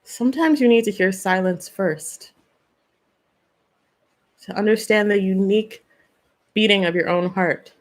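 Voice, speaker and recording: Woman, spiritual channeler, live stream, earnest, direct questions to viewers, middle pitch. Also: measured pace, pauses often. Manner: earnest, answers viewers by name; asks questions and gives gentle advice.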